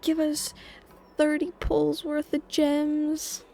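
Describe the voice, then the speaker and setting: Casting: female game streamer, high voice, unhurried delivery, casual and playful, exclamatory, not shy of swearing. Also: monotone, creaky, warm tone, soft background music. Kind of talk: casual live gameplay reaction chat.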